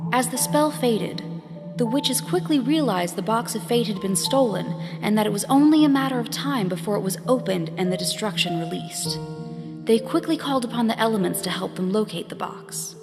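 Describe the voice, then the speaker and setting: A woman narrating a scripted fantasy story in a solemn, deliberate, dramatic way. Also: medium pitch. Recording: reverberant hall, music behind.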